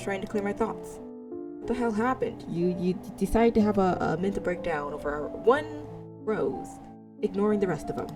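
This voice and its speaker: Woman, mid-pitched voice, dramatic halting read-aloud, casual game commentary, music behind. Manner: dramatic reading, halting over words. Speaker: visual novel player. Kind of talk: reading game text aloud with casual reactions.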